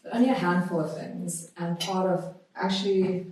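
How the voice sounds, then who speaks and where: A woman's voice heard in a strongly reverberant room, some distance from the microphone. She has a soft, mellow tone and speaks as a podcast guest, measured and deliberate, as in conversation or reading aloud. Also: lowish voice. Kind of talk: conversational interview, reading a historical notice aloud.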